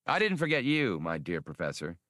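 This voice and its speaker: A male narrator plays a wily, genial old man in an adventure audiobook. He talks in an easygoing, conciliatory way, with varied pitch in a dry recording. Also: wildly swooping pitch, brisk, fairly bright tone, dry recording, full character voice.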